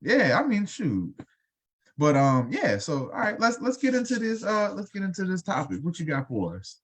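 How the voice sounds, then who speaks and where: A man's voice: high-pitched, huge pitch swings, moderate pace, fairly bright tone, breathy edge. Rambling man in his thirties. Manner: loose and rambling, with many fillers. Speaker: podcast co-host. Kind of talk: casual podcast chat.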